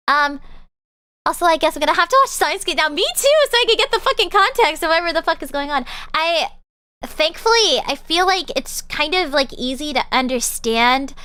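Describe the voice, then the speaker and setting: A woman's voice: sits high, expressive, normal speed, bright, forceful tone, dry recording. A young woman streamer chatting casually and rambling with lots of fillers while she winds down her stream. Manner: bubbly, rambling with many fillers. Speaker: VTuber streamer. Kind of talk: casual live-stream chat.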